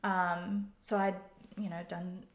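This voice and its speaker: A woman's voice: lowish voice, flat delivery, takes it slowly. Echoey woman in her thirties, chatting. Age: 30s. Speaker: guest, photographer. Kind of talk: casual interview conversation. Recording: roomy, echoey sound.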